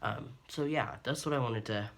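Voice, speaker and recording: A man's voice: medium pitch, moderate pace, gravelly, a bit bright. A young man in his twenties from Ohio, talking openly and earnestly to camera in a rambling vlog full of ums and restarts. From Ohio, USA. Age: 20s. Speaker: vlogger. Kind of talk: candid personal monologue to camera.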